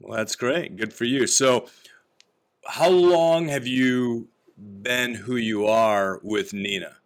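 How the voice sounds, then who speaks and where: A man's voice: mid-range voice, takes it slowly. A man hosting an interview podcast, asking questions in an upbeat, encouraging way. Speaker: podcast host.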